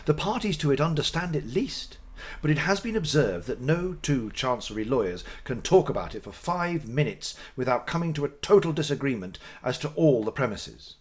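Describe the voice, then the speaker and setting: A man's voice: medium pitch, very animated. Man giving a dramatic literary reading. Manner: theatrical, deliberate phrasing with dramatic pauses. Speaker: audiobook narrator.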